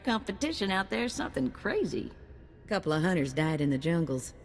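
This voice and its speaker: Seasoned game fixer: deep voice, colloquial, dry humor, long reverb. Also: female voice, big pitch swings, brisk, a little hiss or hum. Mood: chatty, wry. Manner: colloquial, wry. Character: folksy, seasoned female bounty hunt fixer. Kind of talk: video game voice acting.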